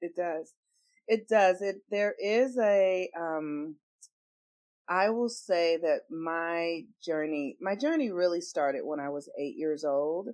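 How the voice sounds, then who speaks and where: An earnest woman telling her personal story as a guest in an interview, stressing her points with swings in loudness. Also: lowish voice, slow pace, no room sound. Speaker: astrologer guest.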